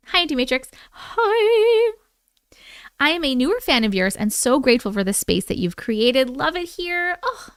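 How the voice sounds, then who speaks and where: A woman hosting a live stream, reading listener stories aloud in a casual, animated way with chatty asides. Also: high voice, smooth, no echo, clean studio sound. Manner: animated, informal, interjects reactions.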